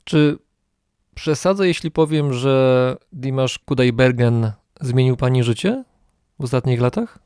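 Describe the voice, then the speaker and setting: Smooth-voiced male podcast host. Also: medium pitch, expressive, measured pace, darkish tone, no room sound, clean studio sound. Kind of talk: polite, formal interview hosting.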